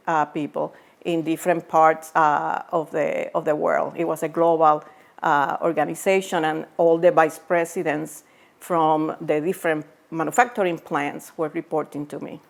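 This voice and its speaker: A middle-aged woman from Puerto Rico with a Puerto Rican Spanish accent, answering interview questions as an executive, halting with many fillers and restarts. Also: low-pitched, fairly even intonation, vocal fry. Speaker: pharmaceutical executive guest.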